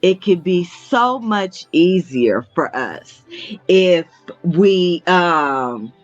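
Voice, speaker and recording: Loud, high-energy woman co-host. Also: lively intonation, very slow, faint music behind. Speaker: co-host, intuitive medium. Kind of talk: casual livestream chat. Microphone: computer or phone mic on a video call. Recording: dull lo-fi video call audio.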